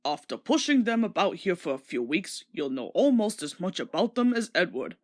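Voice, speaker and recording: A woman voicing a stern, portly male railway director in a children's story, speaking in a measured, lecturing way. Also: lowish voice, very animated, darkish tone, dry recording, clean studio sound.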